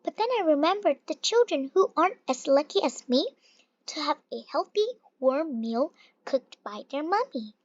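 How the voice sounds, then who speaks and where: A reader telling a rhyming children's story in an expressive, deliberate storytelling manner. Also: high voice, no echo. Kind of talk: read-aloud of a rhyming children's picture book. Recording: dull lo-fi recording, little room sound.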